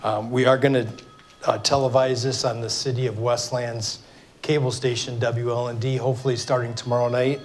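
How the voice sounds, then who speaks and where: A man's voice: mid-range voice, little pitch movement, a little fast. A middle-aged man with a Michigan accent and a scratchy voice from a cold, running a town hall meeting in a loose, filler-heavy way. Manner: informal, many fillers and restarts. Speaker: mayor moderating a town hall. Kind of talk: public meeting address. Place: city hall meeting room. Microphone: microphone for televised recording.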